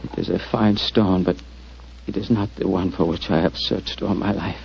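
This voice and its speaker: A man's voice: low-pitched, fairly even intonation, moderate pace, vocal fry, slight background noise. An actor playing a weary man obsessed with an endless quest, solemn and melodramatic in 1940s radio style. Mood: despairing, fading. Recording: muffled 1940s radio recording.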